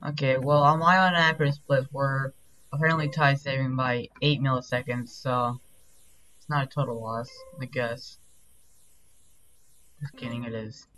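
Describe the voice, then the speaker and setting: A young man speedrunning and chatting casually, his deep-sounding voice sitting at a middle pitch. He rambles in quick asides full of fillers. Faint background hum sits under him. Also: steady tone, sharp tone, poor audio. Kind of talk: casual gaming chat while speedrunning.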